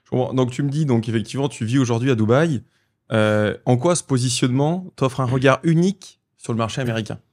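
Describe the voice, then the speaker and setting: A man's voice: medium pitch, fast talker, a little mellow. A man interviewing a guest in a studio, asking questions and affirming the answers. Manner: brief affirming backchannels and questions. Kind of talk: conversational interview.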